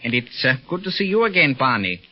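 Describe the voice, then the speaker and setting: A man playing a polite, slightly halting Chinese tea house owner and secret operative in a 1930s radio serial. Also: medium pitch, big pitch swings. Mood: grave. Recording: dull old radio recording with music.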